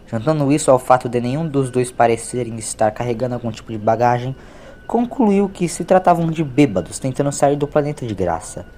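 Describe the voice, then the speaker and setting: A man's voice: medium pitch, lively intonation, a little fast, dark tone, slight background noise. A man with a Brazilian accent narrating a scripted audiobook story.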